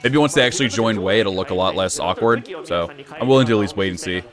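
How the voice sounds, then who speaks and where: A young man streaming, chatting casually in an even, low-key way with sudden bursts of excitement. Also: fast, music in the background. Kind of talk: casual live-stream reaction chat.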